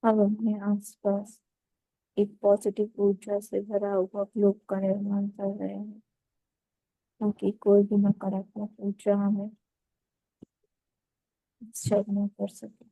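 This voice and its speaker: A woman leading a guided meditation, giving calm, unhurried instructions in short phrases with pauses. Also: medium pitch, vocal fry, no room sound, rough recording.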